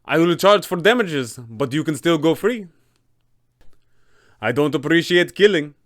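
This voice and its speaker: A man's voice: on the high side, expressive, a little slow, a bit bright, no echo, studio quality. A man voicing a suave, roguish pirate captain with a flamboyant, mock-polite manner for a tabletop villain. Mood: taunting, gleeful.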